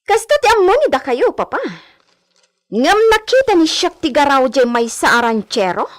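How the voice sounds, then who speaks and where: A woman playing a haughty, spoiled young landowner's daughter in a Filipino radio drama, with a Filipino accent and drawn-out, Spanish-flavoured phrasing. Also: high voice, big pitch swings. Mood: haughty, coaxing. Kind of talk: Ilocano radio drama acting.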